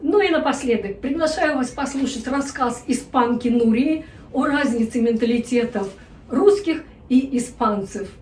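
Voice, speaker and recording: A woman's voice: sits high, normal speed, darkish tone, a little hiss or hum. A woman presenting news in a vlog, with wry, ironic commentary. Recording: echoey room, a bit distant.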